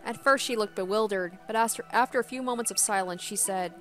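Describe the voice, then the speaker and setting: Woman narrating a story over soft music. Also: mid-range voice, fast talker. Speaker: gaming video host. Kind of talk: dramatic first-person story narration, read aloud.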